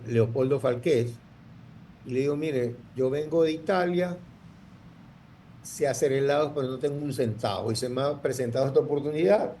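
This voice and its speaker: Man in his sixties, mellow voice. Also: medium pitch, a little hiss or hum. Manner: rambling, full of restarts and fillers. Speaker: guest entrepreneur. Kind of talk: storytelling interview.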